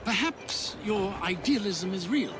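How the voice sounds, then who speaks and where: A haughty, high-voiced British politician. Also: male voice, animated, unhurried, over music. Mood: condescending, dry. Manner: pompous, oratorical. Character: haughty, blunt British politician, condescending and scornful. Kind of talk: dramatic video game cutscene acting. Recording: loud street and carriage ambience.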